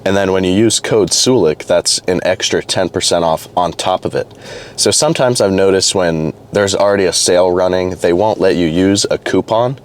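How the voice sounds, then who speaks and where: A young man vlogging casually to camera, a little hoarse and congested from a cold, rambling loosely with restarts and fillers. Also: low-pitched. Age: young adult. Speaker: fitness vlogger. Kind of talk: casual vlog talk to camera.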